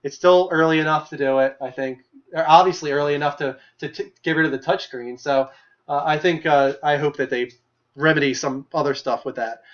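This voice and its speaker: A man's voice: a little high, steady tone, fairly quick. A young man hosting a casual gaming news show, opinionated and full of fillers as he runs through stories. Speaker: podcast host. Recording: muffled lo-fi livestream audio.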